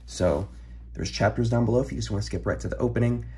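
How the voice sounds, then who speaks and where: A hoarse young man, recovering from an illness, chatting casually as he opens trading card packs at his desk, rambling with ums and throat clearing. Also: medium pitch, fast talker, warm tone. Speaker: card-collecting channel host. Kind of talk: casual pack-opening chat. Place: home room, filmed over a desk.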